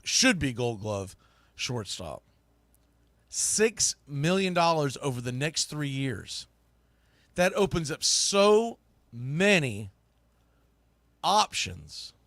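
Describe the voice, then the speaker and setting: A man's voice: medium pitch, a bit bright, no room sound. A man hosting live sports talk, giving opinionated commentary in an emphatic, colloquial, conversational way like a broadcaster. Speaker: sports podcast host and play-by-play broadcaster.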